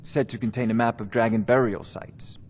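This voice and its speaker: A man playing a haughty, scholarly court wizard in a fantasy video game, with a condescending, deliberate way of talking. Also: medium pitch, steady tone, a little mellow, slight background noise, close to an everyday voice. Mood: condescending, businesslike. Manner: pompous, condescending, deliberate phrasing. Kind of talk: video game character dialogue.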